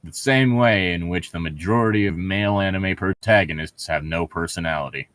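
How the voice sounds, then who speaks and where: A man's voice: deep voice, fairly quick, a bit bright, no echo. A man reading a novel aloud and mocking it with crude, sarcastic, irreverent commentary. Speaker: co-host of a comedic read-along.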